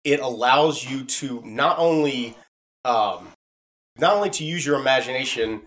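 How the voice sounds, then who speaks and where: A man's voice: mid-range voice, a little slow, a bit bright. A man hosting a podcast, talking casually and explaining things in an earnest, rambling way. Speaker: podcast host and audio drama maker. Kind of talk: casual conversation.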